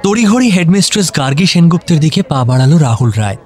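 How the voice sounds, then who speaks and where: A man's voice: a little high, big pitch swings, fast, darkish tone. A man narrating a scripted romantic audio story.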